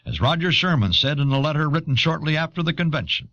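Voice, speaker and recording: A man reading scripted historical narration in a measured, authoritative manner. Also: on the high side, expressive, bright, forceful tone, no echo. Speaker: documentary narrator. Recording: dull old audio cassette.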